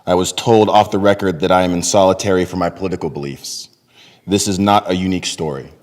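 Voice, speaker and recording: A middle-aged man giving a measured, earnest dramatic reading of testimony at a lectern. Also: deep voice, fairly even intonation, a little mellow. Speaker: reader in a readers theater. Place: large temple sanctuary, at a lectern. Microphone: podium microphone on a boom stand. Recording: long hall reverb.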